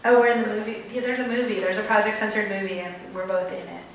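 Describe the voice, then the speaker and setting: A woman's voice: medium pitch, steady tone, quick pace. Playful woman, distant and very echoey. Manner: joking, playful. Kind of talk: casual chat at a panel. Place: library event room, indoors. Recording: very echoey, distant, clearly noisy room recording.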